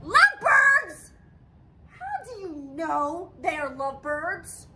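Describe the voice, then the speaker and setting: Woman playing a very high, dramatic, fretful elephant. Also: very animated, takes it slowly, sharp tone, slight background noise, exaggerated voice. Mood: anxious disbelief. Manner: dramatic, exclaiming, swinging from fretful to outraged. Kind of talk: animated children's picture book read-aloud.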